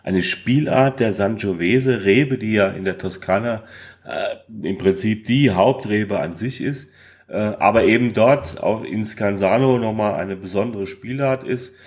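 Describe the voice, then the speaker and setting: Locker plaudernder Mann mit eher tiefer Stimme. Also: male voice, lowish voice, measured pace, darkish tone. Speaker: podcast co-host. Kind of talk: casual conversational food and travel talk.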